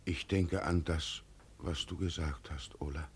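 An actor playing a grief-stricken old peasant father in a German radio play, speaking slowly, husky and wistful. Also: extremely low pitch, fairly even intonation, a little hiss or hum. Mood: brooding, wistful. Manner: slow, brooding, wistful.

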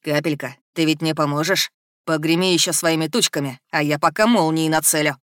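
A woman's voice: deep voice, a little fast, no echo, studio quality, close to an everyday voice. A woman voicing a cocky young male student wizard with a sly, teasing, confident manner in a fantasy audiobook. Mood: sly, reassuring.

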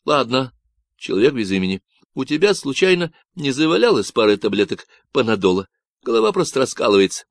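A man's voice: mid-range voice, big pitch swings, fairly quick, dry recording, voice pushed up. Man playing a frantic trapped young man. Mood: pleading. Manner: urgent, pleading.

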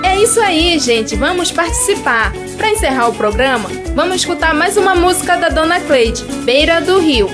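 A woman's voice: extremely high pitch, expressive, moderate pace, hardly any pauses. A young woman with a Brazilian accent co-hosting a scripted radio programme. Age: young adult. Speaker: radio co-host. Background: music.

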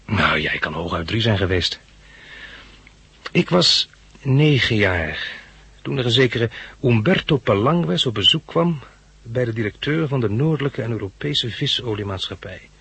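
Easygoing, practical male survivor, very husky with some fry, vintage radio play. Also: mid-range voice, expressive, moderate pace, fairly bright tone, no echo, exaggerated voice. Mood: reminiscing. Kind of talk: 1960s Dutch radio drama acting.

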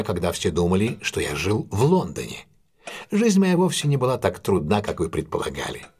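An actor playing a composed, analytical male detective in an audio drama, explaining things in a deliberate, self-assured way. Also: on the low side, breathy edge. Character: composed, analytical male English detective recounting a solved case.

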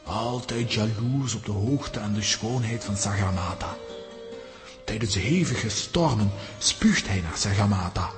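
An actor playing a gravely wise head lama of a mountain monastery, telling things in a solemn, formal, measured way for a children's audio drama. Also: male voice, fairly low pitch, natural voice. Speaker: character telling a mountain legend. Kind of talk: Dutch-language children's audio drama acting. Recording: long reverberant hall with music behind.

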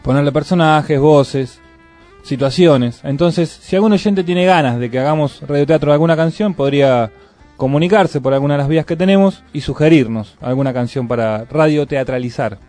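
A man's voice: on the high side, normal speed, soft background music. A man with an Argentine accent hosting a community radio culture show, chatting casually and reading out event listings. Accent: Argentine Spanish. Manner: relaxed, reads event listings conversationally. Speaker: radio host.

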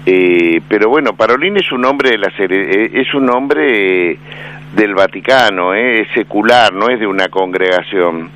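A man with an Argentine accent, commenting on a radio interview in a measured, hesitant way with many fillers. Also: fairly low pitch, a bit bright. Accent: Argentine Spanish. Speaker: radio commentator on Vatican affairs. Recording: dull lo-fi AM radio broadcast with faint noise.

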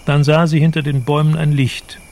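A male narrator of a literary radio drama. His voice is a little high for a man, with pronounced creak. He delivers scripted description in a measured way. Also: level tone, a little mellow.

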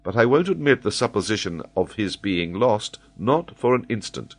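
A man's voice: lowish voice, very animated, normal speed, pitched above the performer's own voice. A man voicing a resolute nobleman who speaks firmly and decisively. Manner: firm, decisive. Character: steady, resolute Scottish nobleman.

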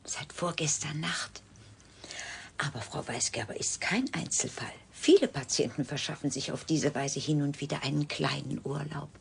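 A woman's voice: low-pitched, expressive, normal speed, creaky, a little hiss or hum. A woman playing a cool, sardonic clinic doctor in a German radio drama, speaking in a clipped, dry, condescending way. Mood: measured, grave.

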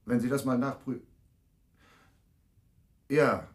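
A man's voice: mid-range voice, steady tone, slow pace. Slick wheeler-dealer, salesman's patter. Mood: sly, joking. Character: brash, fast-talking wheeler-dealer man pitching schemes to film people. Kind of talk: radio drama acting.

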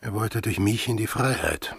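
An actor playing a brooding, sardonic, defiant sailor in a radio drama, speaking tersely in a husky, gravelly voice. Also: male voice, lowish voice, normal speed, dry recording. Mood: brooding, resolute.

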